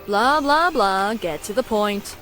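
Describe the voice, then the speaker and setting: A woman's voice: mid-range voice, animated, slow, a bit bright. An actress playing a sardonic, impatient young female airship pilot in a video game cutscene, blunt and teasing, over music. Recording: faint music behind the voice.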